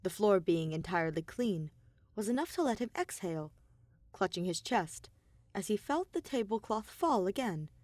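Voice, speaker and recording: A woman narrates a scripted story for an audio fan production. Her pitch is average for a woman but moves in animated swings, and the recording is dry and close. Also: moderate pace, no room sound. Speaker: fan fiction podcast reader.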